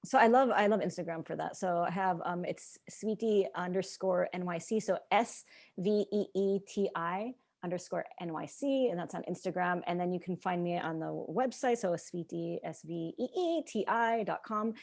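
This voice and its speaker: A young woman whose first language is Czech, chatting as a podcast guest in a rambling, animated way with frequent laughter. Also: on the low side, moderate pace. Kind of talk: casual interview chat.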